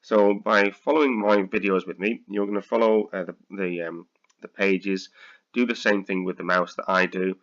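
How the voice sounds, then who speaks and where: A British man with a slightly croaky voice, tutoring accounting students through a software lesson, hesitant with many ums and restarts. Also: low-pitched, fairly even intonation, normal speed, lo-fi sound. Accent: British English. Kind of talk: screen-recorded software tutorial.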